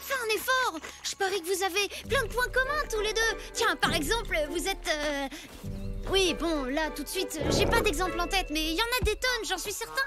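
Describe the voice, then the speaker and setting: Young cartoon caveboy, extremely high voice, lively intonation, earnest, cheerful, exclamatory, loud music underneath. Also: female voice, moderate pace. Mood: coaxing, earnest. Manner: eager, expressive, exclamatory. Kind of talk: animated cartoon dialogue.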